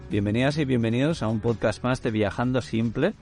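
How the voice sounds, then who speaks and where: A man hosting a casual podcast interview, talking in a loose, relaxed way and often restarting his phrases. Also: medium pitch, a little fast, soft background music. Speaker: podcast host.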